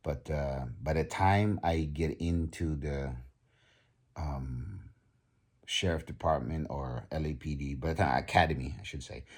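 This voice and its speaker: A man around fifty with a very deep voice. He has a husky edge and talks in a halting, casual way, stopping for ums and restarting his sentences as he goes. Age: 50s. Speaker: vlogger. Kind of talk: casual vlog talk.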